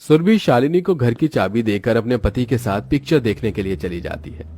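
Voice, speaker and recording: A man narrates a children's story as a scripted storyteller. His voice is husky and mid-pitched with lively swings, recorded dry over music. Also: moderate pace, no echo.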